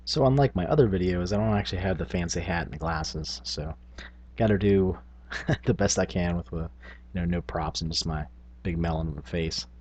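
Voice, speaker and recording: A man's voice: deep voice, normal speed, darkish tone, a little hiss or hum. A man speaking informally to camera as a tabletop gaming vlogger, thinking aloud in casual talk. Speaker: tabletop gaming video blogger.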